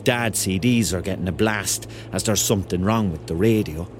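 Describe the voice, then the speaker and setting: A man's voice: low voice, normal speed, music in the background, close to an everyday voice. An actor playing a hapless, self-mocking thirty-year-old man with a Cork accent, telling his story as a rambling, wry confession in a radio drama. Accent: Cork Irish.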